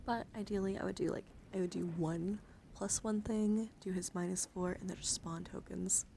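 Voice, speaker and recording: A woman hosting an ASMR livestream, speaking softly and casually at a close microphone in an unhurried show-and-tell style with murmured, whispery asides. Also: mid-range voice, steady tone, creaky, a little hiss or hum. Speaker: livestream host. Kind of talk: soft-spoken ASMR card show-and-tell.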